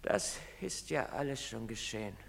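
A man's voice: mid-range voice, animated, normal speed, gravelly, heavy background noise. Desperate young man, echoing hall. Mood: bitter, resigned. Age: young adult. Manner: brooding, swinging into anguished outbursts. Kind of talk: German stage drama acting. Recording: reverberant theatre hall recording.

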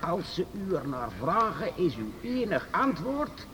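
A man's voice: high voice, a crowd in the background. Een man speelt een geheimzinnige oude meester-uitvinder in een hoorspel, kalm en geruststellend. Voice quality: measured, reassuring, lively intonation. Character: secretive old master inventor, calm and fatherly. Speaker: master craftsman. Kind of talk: radio play acting.

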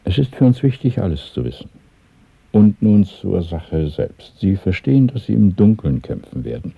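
A grave underground recruiter in a radio play. His voice is very low and husky, with a formal, deliberate, interrogating manner. The recording is thin and narrow, with a very dry sound. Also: big pitch swings, no echo, exaggerated voice. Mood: grave, sober. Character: grave, authoritative male conspirator recruiting for a secret organisation. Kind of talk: 1970s German radio drama acting.

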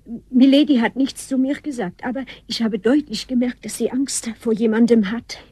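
Anxious, high-voiced maid. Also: female voice, fairly even intonation, moderate pace, dark tone, husky, dry recording. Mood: frightened. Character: anxious French lady's maid, young, timid and fearful. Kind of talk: German radio drama acting.

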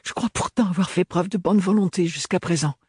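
A man reading aloud and voicing a young, clumsy, defiant woman with a rusty, hoarse voice. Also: high-pitched, a little fast, a little mellow, dry recording, clean studio sound, pitched above the performer's own voice. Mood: reproachful.

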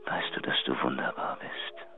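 A man's voice: extremely low pitch, completely flat delivery, normal speed. An actor playing a languid, smitten male lover, murmuring intimately in a radio drama phone message. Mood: enraptured. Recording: narrow phone line with music.

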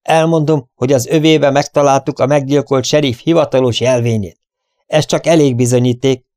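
A man voicing a domineering, angry western bandit boss with a gruff, bullying, deceitful manner. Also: mid-range voice, darkish tone, no room sound, studio quality, lightly acted. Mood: blustering.

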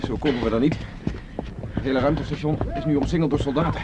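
An actor playing a resolute escaped professor in a science fiction radio play, giving terse orders. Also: male voice, medium pitch, fast talker, background noise, lightly acted. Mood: urgent. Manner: terse, imperative, gives orders. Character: commanding escaped professor, prisoner on Mars, urgent and resolute.

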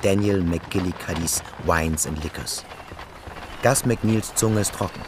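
A man's voice: sits low, takes it slowly, noisy background. A man narrating a literary radio play in scripted prose, acting as its storyteller. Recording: music behind the narration. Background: music.

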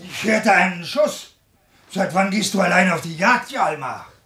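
A man's voice: high voice, animated, unhurried, sharp tone, a little hiss or hum, full character voice. An actor playing an eccentric, brooding old grandfather in a radio drama, with theatrical delivery. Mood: puzzled, ominous.

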